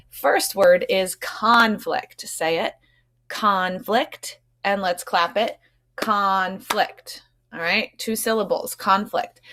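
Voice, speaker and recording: A woman elementary school teacher explaining vocabulary to children in an instructive way, often checking in with short tag questions. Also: on the low side.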